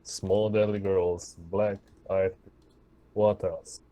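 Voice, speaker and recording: Real low mellow guy voice, slow talker, chatting in an rpg. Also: level tone. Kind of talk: casual tabletop game chat.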